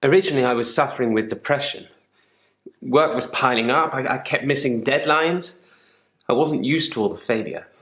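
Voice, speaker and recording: A man's voice: medium pitch, moderate pace, a bit bright, close to an everyday voice. A man playing a troubled gravedigger in therapy for a video game, speaking in a guarded, dryly sardonic, articulate way. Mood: wry, reflective. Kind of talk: naturalistic acted monologue in therapy session. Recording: echoey room.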